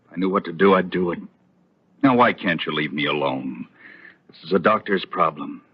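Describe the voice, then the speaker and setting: An actor playing a troubled, curt doctor husband in an old radio soap opera, terse and weary. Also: male voice, low voice, moderate pace, husky. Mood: irritated. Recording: muffled old radio recording.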